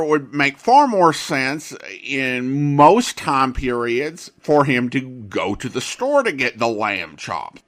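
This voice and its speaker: A man hosting a podcast, giving commentary in a deliberate, emphatic way with pauses between phrases. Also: medium pitch, fairly bright tone. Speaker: podcast host.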